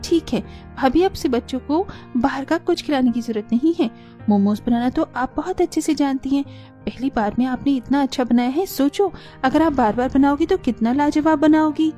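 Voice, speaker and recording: A woman playing a gentle, caring young woman of a poor family in an earnest cartoon style. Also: sits high, normal speed, lightly acted. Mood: pleased, encouraging. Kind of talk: cartoon dialogue acting. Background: background music.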